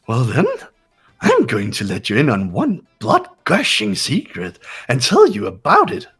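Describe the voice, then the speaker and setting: Game villain: sadistic bear mascot, slightly husky, wildly animated, gloating and theatrical. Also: mid-range voice, measured pace, soft background music, exaggerated voice. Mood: smug, teasing. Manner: taunting, theatrical, swooping. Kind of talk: fan-voiced video game villain acting.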